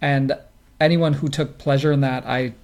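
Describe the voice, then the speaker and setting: A man's voice: mid-range voice, steady tone, moderate pace, darkish tone. A man co-hosting a sports podcast, talking in a casual, conversational style and offering commentary. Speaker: podcast co-host.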